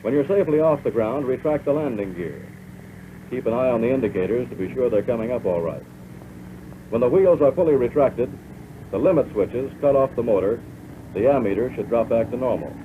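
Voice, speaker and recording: A man's voice: medium pitch, expressive. Tinny vintage American training-film narrator, man. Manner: measured, instructive, addressing the listener as pilot. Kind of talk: scripted instructional narration, 1940s military film. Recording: tinny band-limited 1940s film soundtrack, loud noise.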